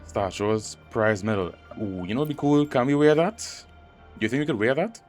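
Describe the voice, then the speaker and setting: A man's voice: mid-range voice, expressive, brisk, darkish tone. A man giving casual, slangy playthrough commentary, joking and talking back to the screen. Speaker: gaming streamer. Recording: game music behind the voice.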